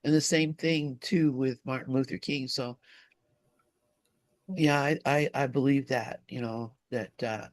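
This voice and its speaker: A man speaking reflectively and casually in a group discussion, halting and repeating himself as he gropes for words. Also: mid-range voice, steady tone, dry recording.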